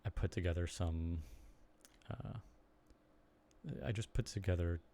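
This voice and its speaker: A young man with a Chicago accent, chatting casually and haltingly to viewers on a live stream from his computer desk. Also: sits low, fairly even intonation, warm tone, a little hiss or hum. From Chicago area, United States. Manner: rambling, halting, lots of ums and restarts. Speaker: streamer and blogger.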